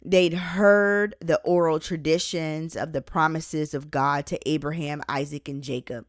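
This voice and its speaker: Slow, deliberate woman reading inspirational teaching. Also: on the low side, no echo, clean studio sound. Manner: deliberate, emphatic phrasing with pauses. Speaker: author and host reading own book. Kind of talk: scripted inspirational audiobook-style reading with Bible teaching.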